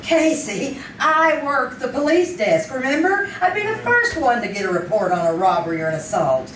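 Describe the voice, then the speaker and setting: An actress playing a catty, teasing police-desk reporter with a knowing manner in a live old-time radio drama. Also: female voice, high voice, very animated, moderate pace, a bit bright. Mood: needling, wry. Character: wry, catty, sharp-tongued female police-desk reporter. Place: theater stage, performed live. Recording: distant, echoey live stage, faint noise.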